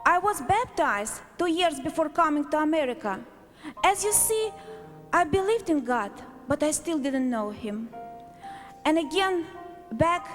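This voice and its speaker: A woman from Azerbaijan giving a prepared testimony to a congregation, speaking deliberately in short, emphatic phrases. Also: high-pitched. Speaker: church member giving a testimony. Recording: echoey hall, long reverb, music.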